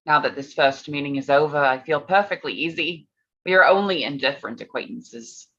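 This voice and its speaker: Dull, lo-fi sound carries an actress playing an earnest young gentlewoman in a staged period reading. The voice is lowish, gentle and sincere. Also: a little fast. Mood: composed. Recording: dull lo-fi call audio.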